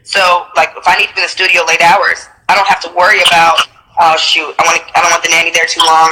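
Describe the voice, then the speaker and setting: A woman in her thirties, a reality TV personality and singer, chatting in a casual interview with a stuffy nose, animated and slangy, laughing often. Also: fairly low pitch, fast talker, a little hiss or hum, poor audio.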